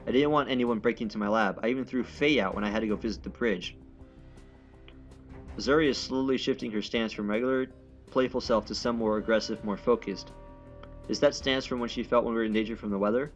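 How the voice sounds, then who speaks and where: A man's voice: medium pitch, fast talker. A man reading a visual novel's dialogue and narration aloud as a let's player, stumbling over words and correcting himself. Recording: dull audio with game music behind. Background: game music.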